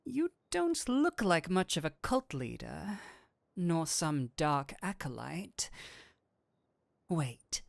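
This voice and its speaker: A man playing a flirtatious incubus demon in a roleplay audio drama, with a teasing, theatrical, drawn-out delivery. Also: fairly high pitch, sing-song, vocal fry, no room sound, full character voice. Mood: curious, probing. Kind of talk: scripted roleplay audio drama, addressing the listener.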